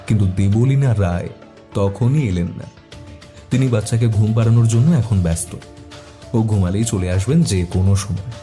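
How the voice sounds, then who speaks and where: A man's voice: fairly low pitch, warm tone. A man reading a scripted detective story as narrator, also speaking the detective's questions.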